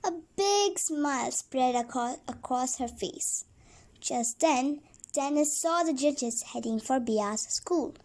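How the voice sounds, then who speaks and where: A woman reading a children's storybook aloud as a read-along, haltingly, repeating and correcting words as she goes. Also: high-pitched, animated, darkish tone.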